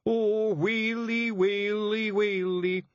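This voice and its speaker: A man's voice: medium pitch, completely flat delivery, extremely slow, clear, resonant tone, no echo, dull audio, voice pushed up. A man voicing a simple-minded, easily upset clansman, whiny and sobbing. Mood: wailing.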